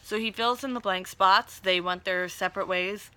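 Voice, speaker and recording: A woman with a bright, forward voice hosts a casual recap in a chatty, conversational way. She drops in wry asides and slangy retellings. The sound is close and dry, with very little room to it. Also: medium pitch, steady tone, normal speed, clean tone, dry recording. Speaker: video recap host.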